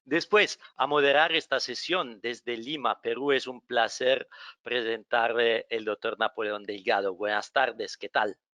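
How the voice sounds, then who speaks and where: A man whose first language is Italian hosts a medical webinar in welcoming, slightly halting Spanish on a video call. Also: medium pitch, steady tone, pushed and bright, no echo. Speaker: webinar host for a medical company.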